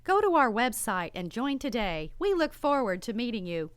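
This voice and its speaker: Casting: woman for scripted theater promo. Higher voice, varied intonation, close dry sound. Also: very animated, normal speed, clean tone, dry recording. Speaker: promo announcer for a community theater.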